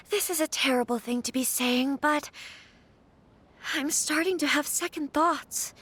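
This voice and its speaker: A woman's voice: sits high, slow, pauses often, fairly bright tone. An actress voicing a troubled, polite young noblewoman in a video game, speaking earnestly and hesitantly. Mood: doubtful, apologetic. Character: troubled young noblewoman, polite and conflicted about her wedding. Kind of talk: video game voice acting, scripted dialogue.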